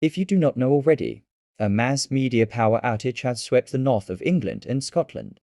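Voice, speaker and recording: A man's voice: mid-range voice, lively intonation, moderate pace, warm tone, no room sound, studio quality. A man reading a scripted emergency announcement as a television continuity announcer.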